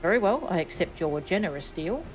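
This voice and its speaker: A woman voicing a formal, resolute young nobleman from a fantasy game in a measured, commanding way. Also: on the low side, big pitch swings, music in the background.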